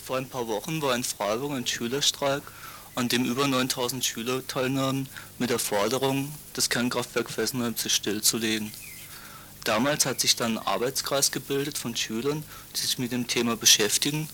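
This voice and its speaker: A man interviewing a student on community radio, asking calm, plain questions. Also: mid-range voice, moderate pace, a little hiss or hum.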